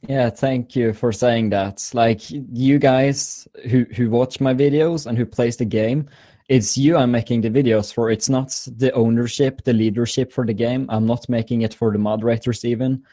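A man's voice: medium pitch, fairly even intonation, normal speed, dark tone, no echo. A man in his twenties from Norway, a guest answering interview questions eagerly, often repeating words and laughing. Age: 20s. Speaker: guest YouTuber. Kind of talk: casual interview.

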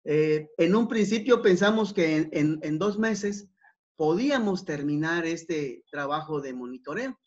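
A man giving an academic talk over slides, unhurried, with many fillers and repeated words. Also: sits high. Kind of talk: conference presentation over slides. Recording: dull, lo-fi online talk.

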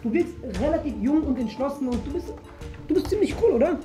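Fast-talking, mellow young woman. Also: high voice, expressive, faint music behind. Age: young adult. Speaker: host playing a police inspector. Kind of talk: playful improvised interrogation. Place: indoor studio room at a table.

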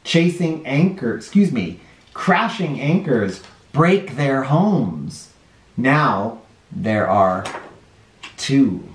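A man in his forties reading a children's picture book aloud at home, expressive, dropping his voice low on each count. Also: drawn-out words, echoey room. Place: living room with couch and toys, indoors.

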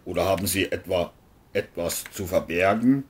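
A stern male sheriff from a crime audio play, acted by a man, low in pitch. He is formal and insistent, giving commands over faint noise and clicks. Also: moderate pace, lightly acted. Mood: insistent, suspicious.

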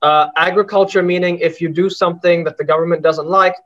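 A young man from Bangladesh doing a deadpan stand-up set over a video call, with dry delivery and frequent fillers. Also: high voice, fairly quick. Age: young adult. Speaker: stand-up comic. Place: small room indoors at home. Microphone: laptop webcam on a video call. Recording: muffled video-call audio.

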